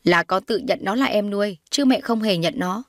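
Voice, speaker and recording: A woman's voice: mid-range voice, normal speed, a bit bright, no room sound, clean studio sound, natural voice. A woman voicing a haughty, scornful middle-aged mother who sneers and accuses in a dramatized audio story. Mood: cold, scornful.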